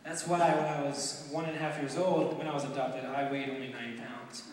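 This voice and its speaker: A nineteen-year-old man from Romania giving an earnest, halting testimony to an audience, with many ums and restarts. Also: mid-range voice, level tone, slight background noise. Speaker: speaker telling his adoption story. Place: large hall with an audience. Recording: echoey hall from far off.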